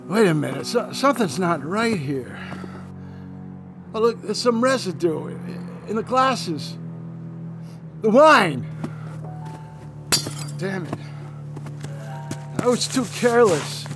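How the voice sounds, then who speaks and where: An actor playing a smug, evasive, adulterous CIA agent in a radio play, stammering at times. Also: male voice, sits high, animated, slow pace, long pauses, full character voice. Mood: panicked. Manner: flirtatious, hesitant and stammering. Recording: radio drama with music underneath.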